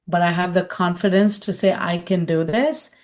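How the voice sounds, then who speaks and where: Animated middle-aged woman on a webinar call. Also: fairly low pitch, normal speed. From India. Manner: animated, acts out quoted voices, conversational. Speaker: webinar speaker and consultant. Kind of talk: webinar Q&A answer.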